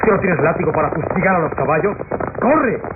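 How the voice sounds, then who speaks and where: A frantic, anguished gentleman acted by a man in a radio drama, his high voice swinging widely as he gives urgent orders. It sounds tinny, with loud carriage noise all around. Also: animated, brisk, close to an everyday voice. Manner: urgent, commanding. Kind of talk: radionovela acting.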